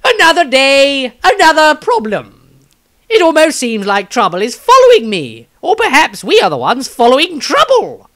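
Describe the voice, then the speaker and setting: A man's voice: extremely high pitch, huge pitch swings, moderate pace, bright, forceful tone, voice pushed up, full character voice. A man voicing a fussy, homesick, reluctant tinkerer, reading a diary entry wistfully. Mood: weary, wistful.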